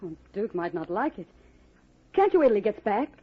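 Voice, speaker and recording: Jittery gangster's moll, lively intonation. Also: female voice, medium pitch, a little fast, breathy edge. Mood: wary. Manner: fretful, pleading. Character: anxious, jittery gangster's girlfriend, wary and reluctant. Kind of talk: 1950s radio drama acting. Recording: muffled, noisy vintage radio recording.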